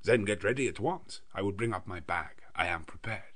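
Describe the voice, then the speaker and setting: A man's voice: sits low, animated, fairly quick, a bit bright. A man voicing a grave, learned old Dutch professor from a classic novel, kindly and commanding, in emphatic, oddly phrased English. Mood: urgent.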